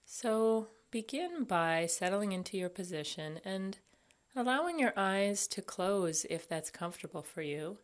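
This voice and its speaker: A woman guiding a meditation as an instructor, speaking gently and without hurry, leaving long pauses. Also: medium pitch, animated. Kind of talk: guided body scan meditation.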